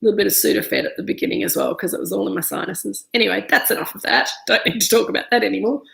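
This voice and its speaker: A woman in her thirties with an Australian accent talks her way through a wine tasting, stuffy from a cold and full of ums and restarts. Her voice has a gravelly edge. The room around her echoes noticeably. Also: medium pitch, very fast, fairly bright tone. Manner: chatty, many ums and restarts. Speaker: winemaker hosting a live virtual tasting. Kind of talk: casual live-stream chat, reading viewer comments. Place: winery room.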